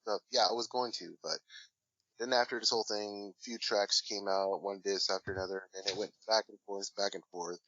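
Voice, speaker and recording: A man's voice: on the low side, flat delivery, fairly quick, fairly bright tone, dry recording, lo-fi sound. A man talking casually as an interview guest, rambling and hesitant with many fillers. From Washington State, USA. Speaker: guest, hip hop artist.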